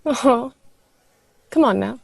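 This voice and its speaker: A woman's voice: fairly high pitch, animated, takes it slowly, gravelly, no room sound, exaggerated voice. A woman playing a cool, teasing, menacing young woman who goads like a phantom in an audio play. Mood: cool, taunting.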